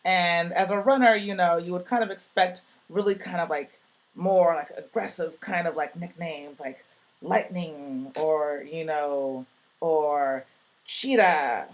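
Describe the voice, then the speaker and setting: A woman with a deep voice casually telling a personal story in a recorded message to a youth project, in a relaxed, chatty way full of fillers. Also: takes it slowly. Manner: chatty, relaxed, many "like" and "you know" fillers.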